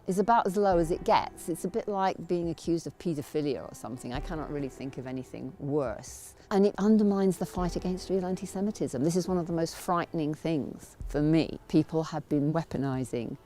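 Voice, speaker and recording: A woman with a deep voice gives personal testimony as an activist. She speaks gravely and deliberately, setting out each point with care. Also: dark tone.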